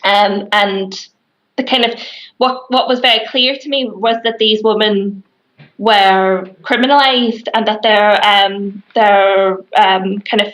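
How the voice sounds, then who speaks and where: A woman in her thirties with a Northern Irish accent, a criminology lecturer answering interview questions on a video call from a small office, pausing often with fillers and restarts. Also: medium pitch, steady tone, a bit bright, low-quality recording. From Northern Ireland. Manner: hesitant, many fillers and restarts. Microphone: webcam on video call.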